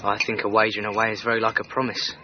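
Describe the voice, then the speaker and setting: An actor playing a loyal, steadfast manservant, deferential and earnest, in a radio drama. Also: male voice, fairly low pitch, flat delivery, racing, natural voice. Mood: earnest, reassuring. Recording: dull sound with clear background noise.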